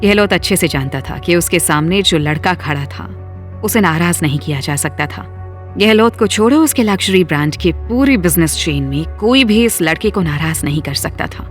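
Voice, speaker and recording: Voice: medium pitch, lively intonation, moderate pace, faint music behind. A narrator reading a scripted dramatic story.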